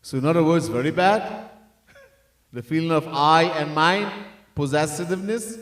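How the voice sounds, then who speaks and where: Man, spiritual teacher, higher voice, earnest, repeats for emphasis, roomy echo, distant mic. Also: normal speed. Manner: breaks into sung chanting of verses. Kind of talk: devotional discourse. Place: temple hall. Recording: echoey room, mic set far off.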